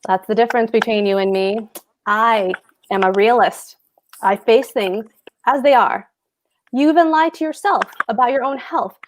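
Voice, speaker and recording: Woman playing blustering male official, fairly high voice, pedantic, precise, emphatic, stage comedy. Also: normal speed, a little hiss or hum, natural voice. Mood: pompous, smug. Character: pompous, pedantic, blustering husband, a petty official. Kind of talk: stage comedy table read.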